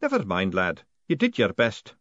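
A man's voice: lowish voice, huge pitch swings, normal speed, fairly bright tone, no room sound, dull audio. A man voicing a fatalistic old lodge master who talks in a weary, mournful way. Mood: gloomy, resigned.

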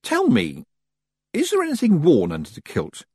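A man's voice: fairly high pitch, wildly swooping pitch, moderate pace, a little mellow, breathy edge, dry recording, clean studio sound, pitched above the performer's own voice, exaggerated voice. A man voicing a stern, bossy and inquisitive young female witch in an audiobook. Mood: curious, matter-of-fact. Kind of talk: audiobook character voice.

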